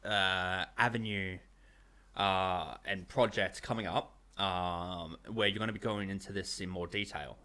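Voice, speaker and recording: Male interviewer in his thirties, lower-pitched, informal chat delivery. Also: steady tone, measured pace, pushed and bright, clear, resonant tone. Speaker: host, interviewer. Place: small home streaming room, indoors.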